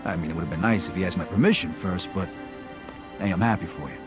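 An actor playing a streetwise, cocky young inmate, in a video game cutscene. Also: male voice, sits low, quick pace, lightly acted. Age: young adult. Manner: streetwise, tough, profane. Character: young male Italian-American inmate, tough and cocky. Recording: music under the voice.

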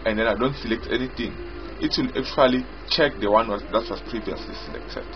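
A man giving an unscripted software walkthrough as its developer, explaining haltingly and repetitively in short phrases. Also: medium pitch. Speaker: software developer demonstrating own app. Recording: dull lo-fi audio with loud music. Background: loud music.

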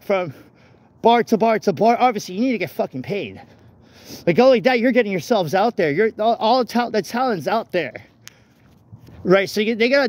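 A man rambling casually and haltingly into a handheld phone as he walks outdoors, often repeating his phrases. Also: high-pitched, dry recording. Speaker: vlogger. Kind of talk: casual rambling walk-and-talk chat. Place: outdoors walking along a street at night. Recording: noisy outdoor phone, traffic ambience. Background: vehicles and street ambience.

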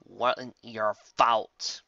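A man voicing a steady, caring female earth pony, speaking slowly and soothingly. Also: medium pitch, no room sound. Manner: slow and soothing, drawn out. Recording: dull lo-fi dry recording.

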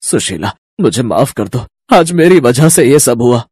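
A man's voice: medium pitch, very animated, measured pace, darkish tone, husky, no echo, clean studio sound. A man voicing an anxious middle-aged father-in-law in a cartoon, earnest and pleading. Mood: remorseful.

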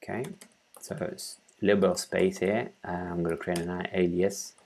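Male tutorial presenter, thirties, very low monotone, halting and informal, explaining each step at his desk. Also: vocal fry, a little hiss or hum. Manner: halting, thinking aloud while working. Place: small room at home, indoors.

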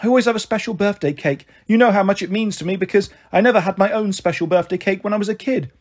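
A woman's voice: medium pitch, fast, darkish tone, pitched above the performer's own voice, natural voice. A woman voicing a flighty, self-pitying mother of thirty-three with a British accent, gloomy and emotional in an audiobook. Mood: wheedling.

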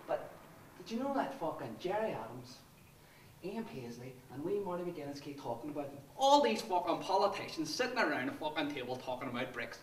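A man in his twenties with a Northern Irish accent, playing an obsessive, menacing young republican militant in a dramatic monologue, lurching between hushed whispers and profane outbursts. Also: on the high side, sing-song, brisk, slight background noise. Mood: ranting. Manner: swings between hushed self-urging whispers and swearing tirades. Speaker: monologue performer. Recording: echoey room, voice from a distance.

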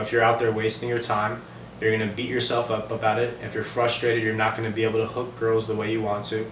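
Young man advising, roomy echo, flat. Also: on the low side, slight background noise. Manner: relaxed, rambling, many fillers. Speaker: dating coach vlogger. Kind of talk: casual advice monologue to camera. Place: living room, seated on a couch. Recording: roomy echo from far off the mic.

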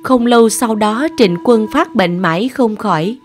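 A woman reading scripted narration for an animated folk tale. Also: fairly high pitch, measured pace, soft background music.

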